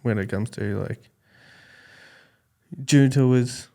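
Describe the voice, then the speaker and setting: An Australian man in his forties with a calm, smooth voice, chatting casually as an interview guest and thinking aloud in a halting way. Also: mid-range voice. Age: 40s. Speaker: podcast guest, skateboarding judge.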